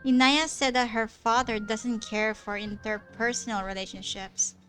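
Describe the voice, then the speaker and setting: A woman's voice: fairly high pitch, fairly even intonation, normal speed, a bit bright, faint music behind. A woman game streamer giving casual, informal live reactions in short asides.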